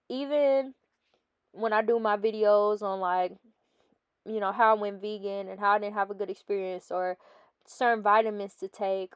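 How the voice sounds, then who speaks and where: A woman's voice: mid-range voice, clear, resonant tone, no echo, clean studio sound. A young woman with a stuffy nose, chatting casually as a fitness vlogger over her workout footage and often calling her viewers "guys.".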